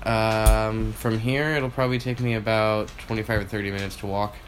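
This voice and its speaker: A man co-hosting a casual podcast, easygoing and thinking out loud as he talks. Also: fairly low pitch, level tone, normal speed, a little hiss or hum. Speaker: podcast co-host.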